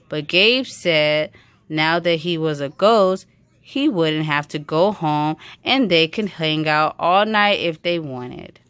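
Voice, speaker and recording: A woman's voice: sits low, fairly bright tone, clean tone. A woman reading a children's story aloud in a storytelling style. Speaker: reader of a children's book.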